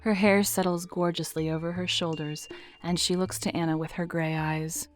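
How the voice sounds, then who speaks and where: A woman narrating an audio drama in a dry, measured storytelling manner. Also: on the low side, little pitch movement. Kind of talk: scripted narration.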